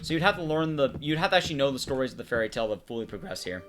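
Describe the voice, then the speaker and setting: Animated man doing game commentary. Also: mid-range voice, racing, faint music behind. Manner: explanatory, addressing the viewer in short phrases. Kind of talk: casual gameplay commentary.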